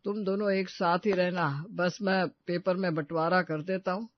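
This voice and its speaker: A man's voice: high-pitched, steady tone, moderate pace, dry recording, highs cut off, natural voice. A man playing an elderly, caring and practical father-in-law in a family audio story, with a plain-spoken, advising manner. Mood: earnest. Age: older. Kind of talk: Hindi audio story acting.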